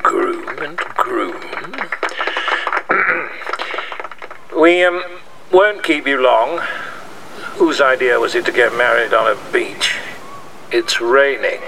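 A man's voice: medium pitch, expressive, slow pace, creaky, long pauses. A man playing a wry, tender groom speaking hesitantly at his outdoor wedding in an audio drama. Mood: awkward, wry. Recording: noisy outdoor scene, distant from mic.

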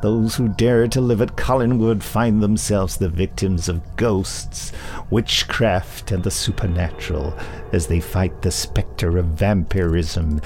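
A man's voice: low voice, a little slow, darkish tone. A man co-hosting a podcast, reading out news and chatting easily with his co-host. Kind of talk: casual chat and reading announcements. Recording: faint music behind.

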